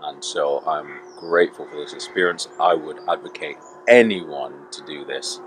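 A man in his thirties giving a calm, hesitant testimonial outdoors by some trees. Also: very deep voice, slight background noise. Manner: calm, slow, hesitant with many ums. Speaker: retreat participant. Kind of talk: short testimonial. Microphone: camera at close range.

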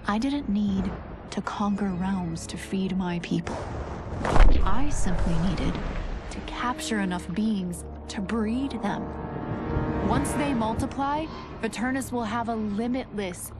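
An actress playing a ruthless, scheming winged vampire, narrating imperiously for a video game. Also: female voice, medium pitch, slow, natural voice. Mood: bitter, calculating. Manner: imperious, dramatic. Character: ruthless, scheming female winged vampire predator feeding her people. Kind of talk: scripted game story narration. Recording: loud music behind the voice.

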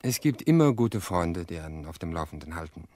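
A low, deep voice, close and dry, for a middle-aged widower in an audio drama. He speaks earnestly and wearily, weighing his words with reflective pauses. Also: big pitch swings, warm tone, dry recording. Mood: resigned, reflective. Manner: measured, reflective, hesitant pauses. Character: weary, earnest widower confessing to his sister-in-law. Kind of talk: radio play dialogue.

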